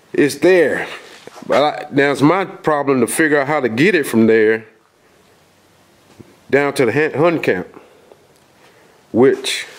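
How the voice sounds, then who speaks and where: A man with a cold and a nasal voice, filming a folksy, rambling walkthrough of a dark house basement on a handheld camera, with lots of fillers and restarts. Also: mid-range voice. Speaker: outdoors video host. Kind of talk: casual vlog walkthrough.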